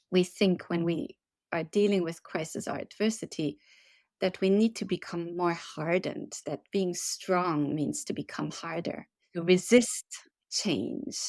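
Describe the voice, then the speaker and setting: A woman from Germany, a resilience trainer, giving earnest, measured answers in a podcast interview. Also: on the low side, no echo. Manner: earnest, measured, gently emphatic. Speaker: resilience and leadership trainer, guest.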